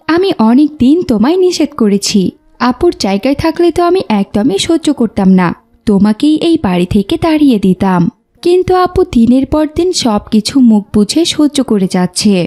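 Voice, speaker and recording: A woman playing an outspoken young daughter in a cartoon drama, indignant and defending her sister. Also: sits high. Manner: indignant, forceful wording.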